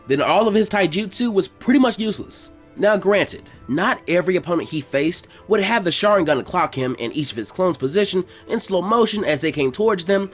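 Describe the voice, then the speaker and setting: A man narrating a story from a script. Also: a little high. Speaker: narrator of fan fiction readings.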